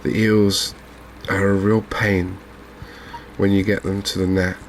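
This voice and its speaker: A soft-spoken man giving unhurried ASMR commentary on a video game. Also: sits low, little pitch movement, a little hiss or hum, rough recording. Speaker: gaming video host.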